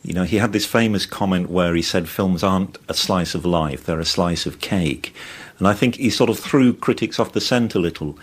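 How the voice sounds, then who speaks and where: Extremely low-pitched academic man. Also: normal speed, breathy edge. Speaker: university film lecturer. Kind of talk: academic interview commentary.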